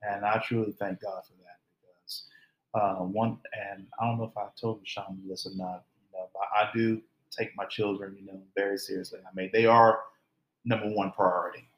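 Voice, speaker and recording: A man telling his side of a shared story, earnest and halting with repeated restarts. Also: lowish voice, little pitch movement, reverberant, rough recording. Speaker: guest, her partner. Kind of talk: casual conversational storytelling.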